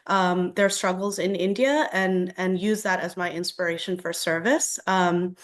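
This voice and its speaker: An American woman giving opening remarks on a panel as a government official, speaking haltingly with many fillers. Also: on the low side. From United States, raised in Delaware. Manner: hesitant, halting, frequent fillers.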